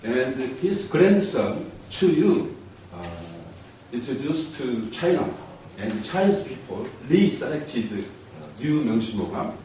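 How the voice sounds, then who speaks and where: A Korean-accented man lectures as a professor, slowly and haltingly in short phrases. His voice is mellow, caught by a distant mic in a very reverberant room. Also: medium pitch, very animated. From South Korea. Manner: halting, short phrases in non-native English. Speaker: professor, co-presenter. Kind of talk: public lecture, answering questions. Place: echoey indoor lecture room. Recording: very echoey room, distant muffled mic, noise.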